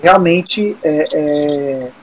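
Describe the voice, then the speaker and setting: A Brazilian man in his forties, a music teacher, talking in a relaxed, thoughtful way with many fillers and restarts. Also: a little high, very slow, slight background noise, low-quality recording. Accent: Brazilian Portuguese. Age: 40s. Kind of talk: casual interview talk.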